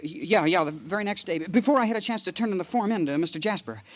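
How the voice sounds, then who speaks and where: A man's voice: sits high, lively intonation, quick pace. An actor playing a frightened, guilty salesman who stammers and pleads in an old-time radio crime drama. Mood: panicked, guilty. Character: frightened guilty male magazine salesman confessing under questioning. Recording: noisy old 1950 radio recording.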